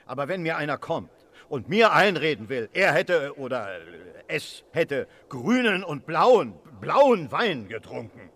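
An actor playing an indignant, pompous, gruff colonel in a radio play, speaking emphatically. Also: male voice, on the high side, very animated, unhurried, a bit bright, exaggerated voice. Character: indignant, blustering male colonel, sceptical and gruff.

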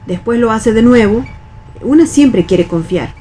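Weary Argentine factory veteran, noisy recording. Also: female voice, on the high side, animated, a little slow, mellow. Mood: weary, resigned. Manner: colloquial, admonishing, world-weary. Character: resigned, bossy veteran female factory worker, weary and cautious. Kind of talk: radio read theatre.

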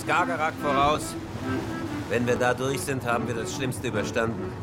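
An actor playing a gruff, weathered skipper of a small cutter in a German radio horror drama, cursing and using seaman's jargon. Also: medium pitch, moderate pace, natural voice. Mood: grim, ominous. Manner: curses, talks in seaman's jargon. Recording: sea noise and music behind voice.

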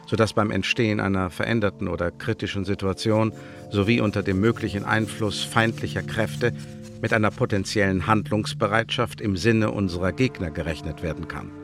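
An actor playing a stern, formal official of an Elizabethan spy agency in a German radio play. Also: male voice, on the low side, vocal fry, a bit bright. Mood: dry, official. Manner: formal, bureaucratic, measured. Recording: reverberant hall.

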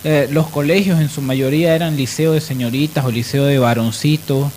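A man's voice: fairly high pitch, steady tone, moderate pace, a little mellow, a little hiss or hum. A man with a Bolivian accent explaining things informally as a panelist on a radio talk show.

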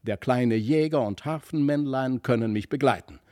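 A man voicing an impulsive, boastful young boy in a storybook, excitable and emphatic. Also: mid-range voice, normal speed, lightly acted. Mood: eager, restless. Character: impulsive, boastful young boy, quick to scorn his toys. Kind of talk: storybook character voice.

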